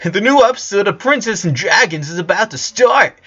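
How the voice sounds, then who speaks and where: A man's voice: sits high, animated, brisk, sharp tone, husky, voice pushed up, full character voice. A man voicing a bossy, demanding little girl with an imperious manner, in a story reading. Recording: dull lo-fi sound.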